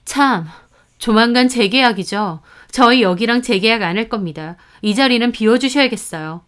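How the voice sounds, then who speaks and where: A woman's voice: fairly high pitch, moderate pace, clean tone, natural voice. A woman as a fierce protective big sister. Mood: cold, threatening. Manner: firm, sharp and confrontational. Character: fierce protective older sister figure, landlady.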